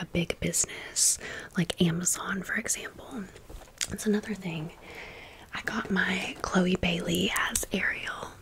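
A woman whispering in a breathy, unvoiced voice, chatting informally to viewers as friends in a casual ASMR storytime. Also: fairly low pitch, a bit bright, low-quality recording. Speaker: ASMR video creator and host.

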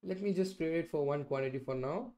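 A man's voice: fairly high pitch, fairly quick, mellow. A middle-aged man presenting an explanatory screen-share tutorial, often checking in with "right?" and "okay". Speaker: instructor presenting a software tutorial. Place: indoors, at a computer.

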